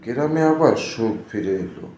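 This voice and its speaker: A man's voice: mid-range voice, animated, moderate pace, a little mellow, reverberant, a little hiss or hum, low-quality recording. A man narrating a scripted cartoon story.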